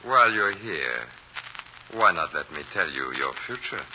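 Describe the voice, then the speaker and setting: A man playing a smoothly menacing, commanding fortune-teller in 1940s radio drama, courteous and hypnotically insistent. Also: sits low, big pitch swings, unhurried. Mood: hypnotic, coaxing. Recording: muffled, noisy 1940s radio recording.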